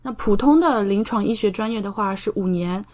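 A young woman speaks as a guest in a conversational interview. Her voice sits at a middle pitch, and she lays out explanations in an informal, step-by-step way. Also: a little fast, a little mellow. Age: young adult. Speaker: podcast guest, doctor.

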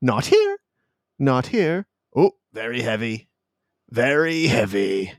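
A man's voice: medium pitch, huge pitch swings, slow, dry recording, studio quality. A man voicing a scatterbrained male servant who mutters and rambles to himself. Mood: bonkers. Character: scatterbrained male servant straining under a load.

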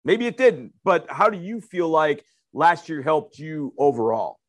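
A man's voice: fairly high pitch, animated, unhurried, no room sound. A man co-hosting a sports interview who asks long, winding questions in an enthusiastic way. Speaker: co-host interviewer.

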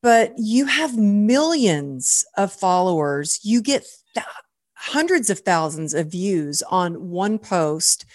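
A woman's voice: medium pitch, sing-song, slow pace, no room sound. Effusive middle-aged woman hosting, close dry sound. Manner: effusive, admiring. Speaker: podcast host, mindset coach. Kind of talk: podcast intro and interview.

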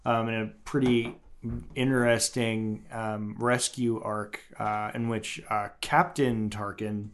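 A man co-hosting a podcast, chatting casually and pausing often as he explains. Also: lowish voice, steady tone. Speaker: podcast co-host. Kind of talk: casual fan review chat.

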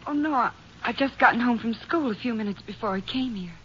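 A woman acting a shaken young schoolteacher in a 1950s radio drama, halting and distressed. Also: on the high side, slight background noise, lightly acted. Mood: nervous. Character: shaken young female schoolteacher, frightened attack victim. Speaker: radio actor. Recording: muffled old 1950s radio recording.

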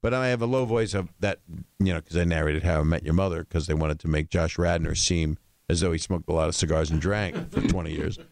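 An older man, a comedian, chatting as a guest in an interview, with a low voice and a rambling, jokey way of telling stories. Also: brisk, a little mellow. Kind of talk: casual interview chat.

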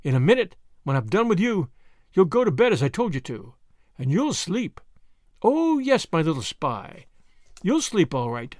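A man's voice: high voice, big pitch swings, moderate pace, a little mellow, dry recording, studio quality. A man voicing a cold, mocking, imperious villainess in an audiobook, with a commanding, sneering delivery. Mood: cold menace. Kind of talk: audiobook character acting.

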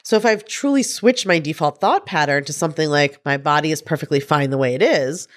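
Nasal, congested woman podcaster, low and lively. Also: brisk. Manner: chatty and warm, frequent "right?" asides, laughs easily. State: congested with a head cold. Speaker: podcast host and life coach. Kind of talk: casual solo podcast talk.

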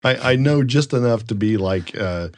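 A man's voice: medium pitch, lively intonation, moderate pace, a little mellow, no echo. An American man chatting casually as a podcast host, rambling and joking as he tells stories. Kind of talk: casual chat and storytelling.

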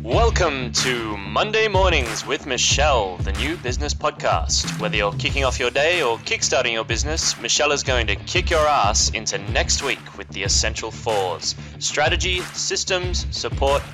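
Announcer guy hyping the show, swoopy pitch, music bed under him. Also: animated, normal speed, few pauses. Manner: hyped, punchy delivery. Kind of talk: scripted show intro.

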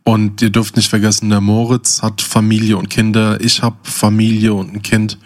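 Low-voiced middle-aged man, casual podcaster. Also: little pitch movement, normal speed. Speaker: podcast co-host.

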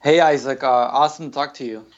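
Relaxed male guest, slightly muffled sound. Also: mid-range voice, fast. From Ukraine. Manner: relaxed storytelling with fillers. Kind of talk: casual interview.

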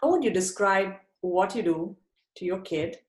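A woman from India with an Indian accent, hosting a podcast interview and leading rapid questions with thanks. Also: medium pitch, expressive, a little mellow, echoey room. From Chennai, India. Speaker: podcast host.

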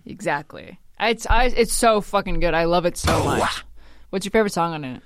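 A woman co-hosting a casual, jokey pop-culture podcast, swearing freely and breaking into quick asides. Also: on the low side, lively intonation, no echo, studio quality. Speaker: podcast co-host. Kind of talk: casual comedic chat.

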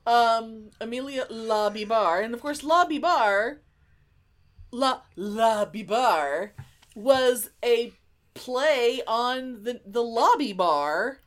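A woman chats as a podcast co-host, spinning a story in an informal, emphatic style. Her pitch moves around a great deal as she talks. She swears freely and leans hard on key words. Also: lively intonation, slow. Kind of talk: casual reminiscing chat.

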